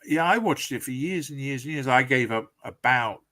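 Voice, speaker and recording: A woman's voice: a bass voice, lively intonation, normal speed, fairly bright tone. A woman in her fifties from the UK chatting casually with dry, teasing humour. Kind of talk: casual chat.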